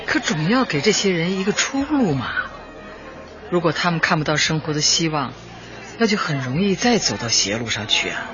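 A woman's voice: deep voice, big pitch swings, moderate pace. Kindly older female Party secretary, earnest and patient, radio drama with music behind a dull recording. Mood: earnest, caring. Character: devoted, kindly elderly woman community Party secretary.

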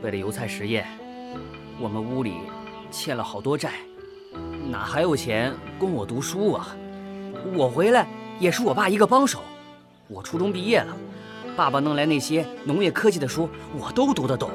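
A man's voice: fairly high pitch, lively intonation, moderate pace, natural voice. An actor in a radio drama playing a sincere, dutiful teenage farm boy, earnest and resolute. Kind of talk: Chinese radio drama acting. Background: music.

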